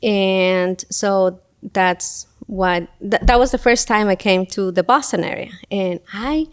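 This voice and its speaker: A middle-aged woman speaks at a middle pitch, typical for her sex. She talks as a guest in a relaxed interview, thinking aloud with frequent pauses and fillers. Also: clean tone. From Ecuador. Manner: thoughtful, many pauses and fillers. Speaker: guest, school event coordinator. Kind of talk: casual interview talk.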